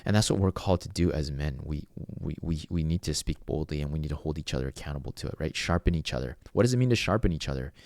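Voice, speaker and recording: Man, very low voice, earnest, rambling podcast monologue, dry close sound. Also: lively intonation, fast talker, few pauses, darkish tone, no room sound. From Venezuela. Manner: earnest and reflective, rambling with many restarts. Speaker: podcast host.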